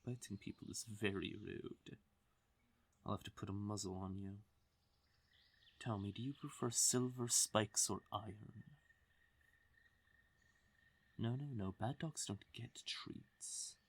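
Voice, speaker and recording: A man playing a slow, possessive fae king. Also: lowish voice, fairly even intonation, breathy edge. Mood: scolding, threatening. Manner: slow, teasing, commanding, with drawn-out pauses. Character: possessive, smug male fae king, silkily menacing. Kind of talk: roleplay audio drama, addressing the listener intimately.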